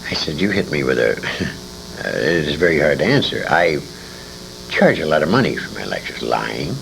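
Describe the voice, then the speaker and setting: A man in his sixties telling stories in an interview, speaking emphatically and dramatically with pauses. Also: rumbling low, big pitch swings, creaky. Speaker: interviewee, veteran radio actor. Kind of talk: conversational storytelling in an interview. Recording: hissy, humming 1980s amateur convention tape.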